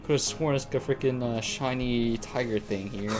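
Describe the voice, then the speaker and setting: A man's voice: mid-range voice, normal speed, music in the background. A man giving casual, slangy gameplay commentary on a live stream, thinking aloud as he plays. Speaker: live streamer playing a video game.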